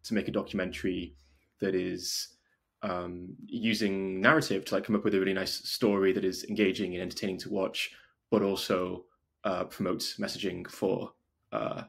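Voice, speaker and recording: Male interview guest from North Wales, informal and conversational, husky voice with vocal fry. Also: low voice, level tone, moderate pace. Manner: informal, frequent "like" as filler. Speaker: podcast guest, video maker and documentary filmmaker. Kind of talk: casual interview chat.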